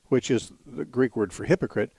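A middle-aged man hosting a call-in radio show on the Bible, thoughtful, restarting sentences, briskly moving callers along. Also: mid-range voice, gravelly, warm tone, slightly rough, no room sound. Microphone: studio microphone.

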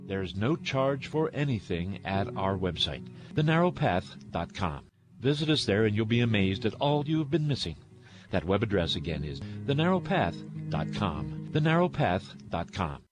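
A male announcer reads a scripted station promo in a polished way. His pitch moves a lot and the delivery stays animated. Clear background music runs under the voice. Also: normal speed.

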